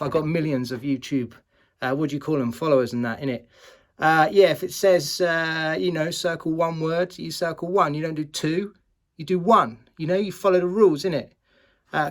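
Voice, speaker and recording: A man playing up a boastful YouTuber persona, touring his garage and giving test tips to pupils in a jokey, slangy way. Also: medium pitch. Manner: slangy, boastful, jokey with repeated "innit" and "sick". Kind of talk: tongue-in-cheek mock-YouTuber garage tour and advice.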